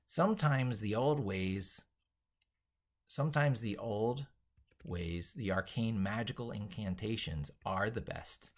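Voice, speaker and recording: A man thinking aloud as he drafts an opinionated tech piece, halting, repeating and reworking his phrases with emphatic points. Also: fairly low pitch. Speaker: tech educator and video creator.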